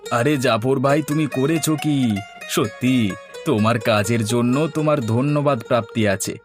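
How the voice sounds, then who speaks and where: A man voicing a warm-hearted, eager village man in a cartoon, with an emphatic, exclamatory delivery. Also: mid-range voice, a little slow, natural voice. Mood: amazed. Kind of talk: Bengali cartoon voice acting. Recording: music playing behind the voice.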